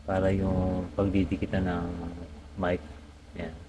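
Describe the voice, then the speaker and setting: A man with a fairly low voice talks through a hands-on tutorial in a casual way. He often halts and searches for the next word. There is clear background noise in the recording. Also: completely flat delivery, mellow. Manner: halting, searching for words. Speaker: vlogger giving a tutorial. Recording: clearly noisy background ambience.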